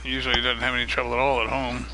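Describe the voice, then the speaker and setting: A man hosting a live stream of a multiplayer farming game, chatting casually and greeting viewers by name, his voice getting a little hoarse. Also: medium pitch, completely flat delivery, very fast, background noise. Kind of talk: casual live-stream chat while gaming.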